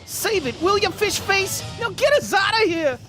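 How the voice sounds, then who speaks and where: A man voices a scrappy, wisecracking robot rat for a cartoon radio drama. The voice is high, the talk clipped and slangy, with music playing clearly behind it. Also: big pitch swings, moderate pace. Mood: irritated. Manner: informal, clipped street slang. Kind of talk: animated-series radio drama acting.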